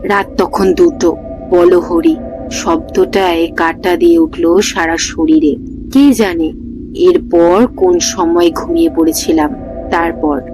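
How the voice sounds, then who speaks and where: A woman's voice: lowish voice, rough recording. A woman narrating a scripted ghost story in a dramatic storytelling style. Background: music.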